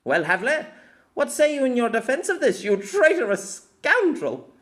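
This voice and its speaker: A man's voice: extremely high pitch, wildly swooping pitch, normal speed, fairly bright tone, voice pushed up, full character voice. A man voicing a haughty, indignant young noble lady in an audiobook, with theatrical, accusing delivery.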